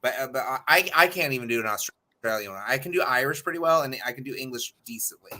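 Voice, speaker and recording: American male panelist, conversational, crisp forceful tone, mid-range pitch. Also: animated, fast. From California, United States. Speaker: talk show panelist. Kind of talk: casual chat.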